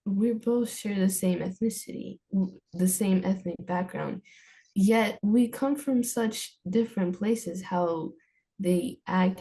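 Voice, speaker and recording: A young woman, a native Armenian speaker, answers a host's questions at an unhurried, slow pace. Her voice is mellow and warm, and she pauses and restarts as she looks for the right words. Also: mid-range voice. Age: young adult. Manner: hesitant, searching for words, many restarts. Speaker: student guest. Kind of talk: casual interview answers.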